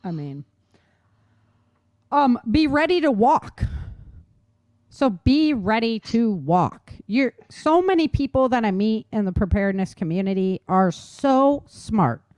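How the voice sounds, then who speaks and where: A woman's voice: on the high side, big pitch swings, takes it slowly, darkish tone, no echo. A woman hosting a talk show, chatting informally and emphatically about practical tips and laughing easily. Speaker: podcast host. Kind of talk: casual survival-tips discussion.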